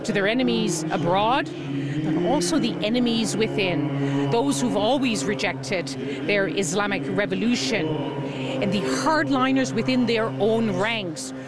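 A woman foreign correspondent narrating a scripted news report in a slow, deliberate way. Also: mid-range voice, animated, over loud music.

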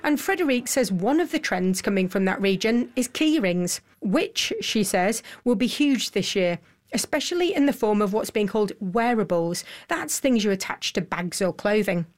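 A woman's voice: medium pitch, lively intonation, normal speed. Woman presenting radio business news. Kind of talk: broadcast news presenting and interviewing.

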